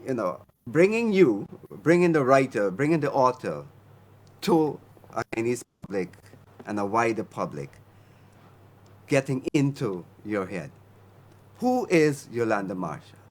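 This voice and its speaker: Older man, radio host, unhurried delivery, swooping expressive pitch, deliberate emphasis. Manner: measured, emphatic, lingering on key words. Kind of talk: formal interview introduction.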